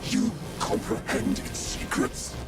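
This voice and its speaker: An actor playing a cold, logical, hostile interrogator, terse and severe in a scripted game cutscene. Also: male voice, takes it slowly, lightly acted. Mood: cold, suspicious. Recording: voice under loud music.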